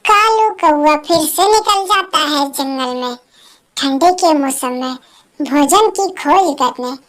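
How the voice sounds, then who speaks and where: A woman narrates a children's animal story in a very high voice. Her storytelling is slow and simple, in a storybook style. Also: animated, a bit bright.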